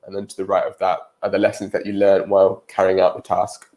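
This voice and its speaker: A man's voice: low-pitched, completely flat delivery, quick pace, dark tone. A British man with a cold and a nasal voice, giving a live-streamed career webinar presentation with frequent ums.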